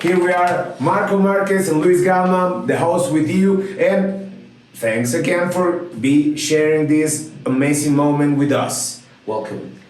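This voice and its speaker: A man's voice: fairly high pitch, unhurried, a little mellow, a little hiss or hum. A man with a Mexican Spanish accent hosting a podcast in an upbeat, playful way, switching between two languages. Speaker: podcast host. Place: room in Guadalajara. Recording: very echoey room, mic far off.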